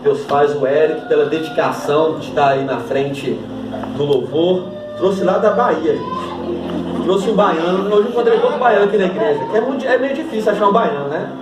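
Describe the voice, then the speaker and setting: Portuguese-speaking pastor in an echoey church. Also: male voice, on the high side, moderate pace, music in the background. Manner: warm joking thanks, then emphatic scripture reading. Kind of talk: sermon. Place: church hall. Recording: echoey church hall from a distance. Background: congregation ambience.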